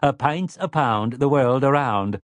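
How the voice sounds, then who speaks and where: A man's voice: medium pitch, unhurried, no room sound, pitched above the performer's own voice, close to an everyday voice. A man voicing a smug, polite male robot with stiff, formal, old-fashioned phrasing in an audiobook. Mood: smug, eager. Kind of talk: audiobook character voice.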